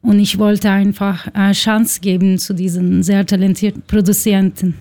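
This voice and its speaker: Turkish accented woman, label owner, soft dark mellow voice, searching for words a bit. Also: medium pitch, little pitch movement. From Istanbul, Turkey. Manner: halting, searching non-native phrasing. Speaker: record label founder, guest. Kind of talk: casual interview chat.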